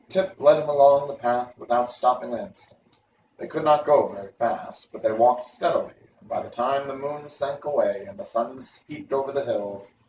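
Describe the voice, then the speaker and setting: A man's voice: medium pitch, darkish tone. A man narrating a children's novel as he reads it aloud. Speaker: story reader. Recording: roomy, noisy, distant microphone.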